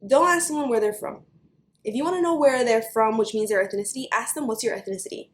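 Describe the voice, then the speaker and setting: A young woman with a New York accent, ranting to camera for her vlog in an animated, chatty way with plenty of "like". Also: fairly high pitch, reverberant. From United States. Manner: animated, fast, chatty with many likes. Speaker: beauty vlogger.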